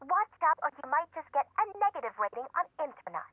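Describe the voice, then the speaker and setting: Sharp high feisty young woman. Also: sing-song, a little fast, no room sound, exaggerated voice, poor audio. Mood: indignant, scolding. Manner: indignant, scolding. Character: feisty, thin-skinned young woman client. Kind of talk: anime-style video game acting.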